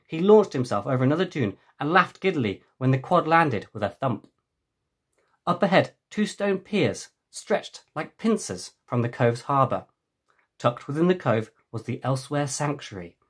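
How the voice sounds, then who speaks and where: Husky man reading an adventure story. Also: medium pitch, fairly bright tone. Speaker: author reading own book. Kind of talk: scripted narration, children's adventure audiobook. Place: small home room with bookshelves. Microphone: webcam at desk distance.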